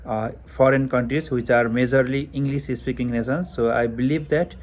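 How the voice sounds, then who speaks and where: A man's voice: mid-range voice, steady tone, normal speed, slight background noise. A young man from Nepal with a Nepali accent, answering speaking exam practice questions hesitantly with many fillers and restarts, recorded on a Bluetooth headphone mic. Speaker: test taker. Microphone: headphone mic over Bluetooth to phone.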